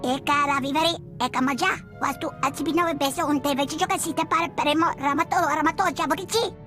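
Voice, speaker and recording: High squeaky voice, woman playing a small furry alien warrior, babbling alien syllables, faint music behind. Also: natural voice. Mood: chattering. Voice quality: chattering alien gibberish speech. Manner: babbling, rapid singsong syllables. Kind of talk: video game cutscene acting.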